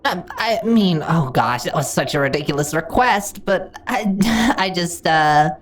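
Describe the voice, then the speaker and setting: Slime monster girl, female actor: lonely, hungry, easily flustered; very animated pitch, slow delivery, fantasy audio drama. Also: slight background noise. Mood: nervous, pleading. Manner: theatrical, giggly, stammering when flustered. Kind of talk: fantasy audio roleplay addressed to the listener.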